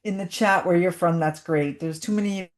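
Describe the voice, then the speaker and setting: A woman's voice: lowish voice, moderate pace. A woman hosting a live webinar over a video call, chatty and welcoming as she greets everyone. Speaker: webinar host.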